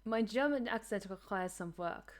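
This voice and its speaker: A woman's voice: mid-range voice, normal speed. A woman streaming a game, chatting casually with her viewers, self-deprecating and talkative. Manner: chatty, self-deprecating, reads chat aloud.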